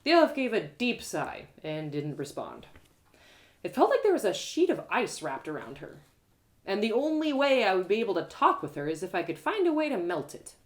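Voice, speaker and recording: A woman reading her own story aloud live as an expressive narrator. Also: mid-range voice, moderate pace.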